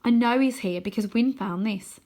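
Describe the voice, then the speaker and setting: A woman's voice: fairly high pitch, normal speed, dark tone, voice pushed up, natural voice. A woman voicing an earnest young boy hero in a storybook, urgent and pleading.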